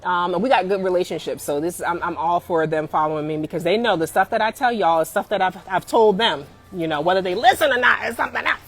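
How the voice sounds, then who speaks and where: A woman in her forties giving career advice on a live stream, emphatic and animated, often calling out to viewers and laughing. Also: fairly low pitch, quick pace, hardly any pauses. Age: 40s.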